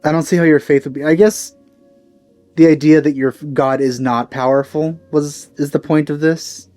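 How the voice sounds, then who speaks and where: Mellow, musing male gamer commentating casually. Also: a little high, steady tone, moderate pace, soft background music. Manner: musing, speculating aloud. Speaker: let's play host. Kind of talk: casual blind playthrough commentary.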